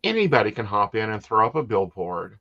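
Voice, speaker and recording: A woman's voice: a bass voice, sing-song, fairly quick, muffled recording. A woman from the United States hosting a casual psychic talk show.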